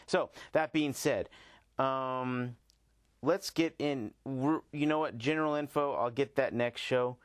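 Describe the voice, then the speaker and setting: A man's voice: mid-range voice, unhurried, dry recording, clean studio sound. A man hosting a podcast, talking in a loose, informal, slangy way as he rambles casually. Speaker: podcast host.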